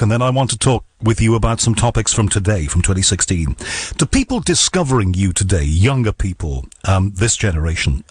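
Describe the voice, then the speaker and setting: A male radio host asks his guest friendly, conversational questions. His voice sits low with a husky edge. The sound is dry and close. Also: no echo. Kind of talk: radio interview.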